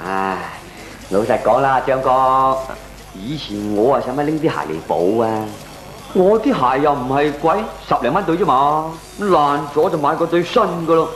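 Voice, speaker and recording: An actor playing a cheeky, smooth-talking male tenant with a Hong Kong Cantonese accent in a comic radio drama. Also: mid-range voice, animated, moderate pace, fairly bright tone, reverberant, natural voice, rough recording. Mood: matter-of-fact. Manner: persuasive, placating.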